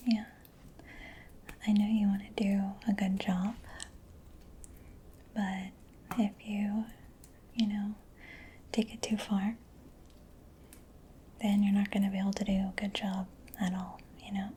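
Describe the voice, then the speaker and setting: A soft-spoken woman in a breathy whisper, gently and reassuringly playing a caring mechanic in an ASMR roleplay. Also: mid-range voice, flat delivery, unhurried, pauses often, slight background noise. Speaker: ASMR performer.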